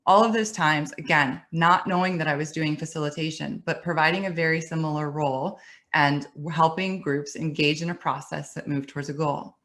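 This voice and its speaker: A middle-aged woman with a low voice tells her story in a webinar. She speaks conversationally, with restarts and light laughs, over a computer mic. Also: steady tone, normal speed, a bit bright. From United States. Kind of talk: presentation talk.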